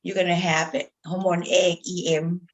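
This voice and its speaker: A woman with a Thai accent explains things in broken, halting English. She is a native Thai speaker who gropes for words. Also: lowish voice, little pitch movement. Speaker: guest.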